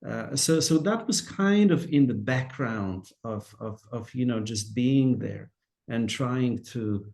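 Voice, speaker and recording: A man with a Polish accent speaks slowly and thoughtfully, often stopping to restart a phrase. His voice has a gravelly, creaky edge to it. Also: mid-range voice, darkish tone. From Poland. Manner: hesitant, many fillers and restarts. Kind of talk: reflective talk.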